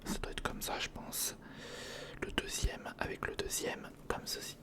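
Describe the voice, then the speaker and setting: An ASMR creator speaking in a breathy, unvoiced whisper, casually thinking aloud and repeating words, close to the microphone. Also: unhurried. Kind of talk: ASMR, whispered build-along commentary. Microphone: close recorder microphone.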